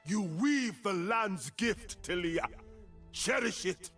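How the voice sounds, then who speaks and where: A man's voice: high-pitched, lively intonation, fairly bright tone, soft background music, full character voice. A man playing a proud, defiant monster-hunting warrior in a video game, speaking in bold, taunting, declamatory lines. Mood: solemn. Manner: declamatory, taunting, deliberate delivery of short lines.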